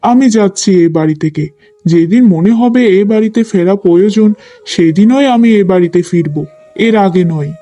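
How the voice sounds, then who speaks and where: High mellow young cartoon hero, acted by a man. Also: moderate pace, no room sound, lightly acted. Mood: defiant. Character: resolute, kindly lovestruck young man of good family. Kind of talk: cartoon story dialogue.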